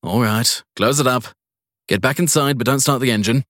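Man voicing a stern, suspicious policeman, raised pitch. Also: normal speed, a bit bright, no echo, clean studio sound, lightly acted. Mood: curt, official. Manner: clipped formal commands ending in "sir". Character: stern, suspicious male police officer, curtly polite. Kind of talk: audiobook crime story character voice.

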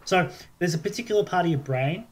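Australian male co-host, slightly high voice, chatty explainer, conversational and repetitive phrasing. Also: fast. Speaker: podcast co-host. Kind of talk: casual chat.

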